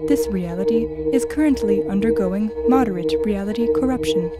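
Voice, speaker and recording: A woman voicing a calm, neutral, helpful artificial guide in an audio drama, formal and informative. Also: medium pitch, brisk, natural voice. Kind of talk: audio drama announcing and briefing. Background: music.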